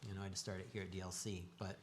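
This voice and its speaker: An American man casually telling a personal testimony in a halting, conversational way. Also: low voice, flat delivery, slight background noise. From Tucson, United States. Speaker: guest sharing testimony.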